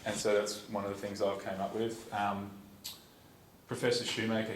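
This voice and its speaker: A young Australian man speaks casually to a lecture audience, low-pitched and fairly monotone. He rambles and restarts often. The sound is distant and roomy, with steady noise behind him. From Perth, Western Australia. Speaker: student speaker at a conference. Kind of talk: informal talk to a lecture audience. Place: lecture theatre. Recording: echoey hall, distant, noisy.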